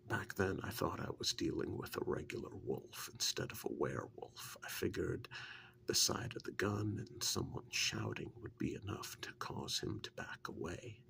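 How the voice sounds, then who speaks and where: A man playing a witch's assistant in an ASMR role-play, softly telling his story in an earnest, wary, hesitant way with a breathy, gravelly voice. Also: sits low, expressive, slight background noise, heavily acted. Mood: haunted, hushed. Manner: hesitant, unhurried first-person storytelling.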